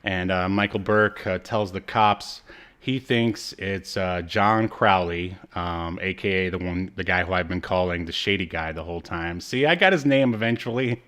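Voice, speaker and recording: A man with a fairly low voice co-hosts a podcast. He recaps in a casual, conversational way. His delivery rambles and drifts into jokey asides. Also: normal speed, a bit bright. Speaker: podcast co-host. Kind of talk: casual chat, recapping a TV episode.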